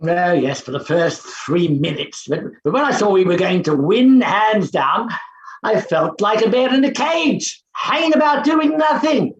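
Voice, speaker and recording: An actor playing an overbearing, impatient, scornful professor in a theatrical play reading, blustering and muttering exclamations. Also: male voice, high voice, expressive, unhurried, muffled recording. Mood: bored, scornful. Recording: roomy echo with faint hiss.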